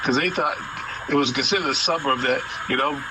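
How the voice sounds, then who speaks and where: Older man telling stories over a noisy broadcast. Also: mid-range voice. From Massachusetts, USA. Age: 60s. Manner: halting, repeats words, long personal stories. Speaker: guest. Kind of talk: casual radio interview storytelling.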